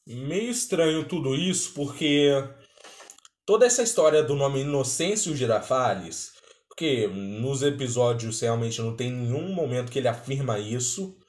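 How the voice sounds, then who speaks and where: A man's voice: medium pitch, animated, normal speed. A man with a Brazilian accent giving casual, conversational reaction commentary as the host of a video. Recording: roomy, echoey sound.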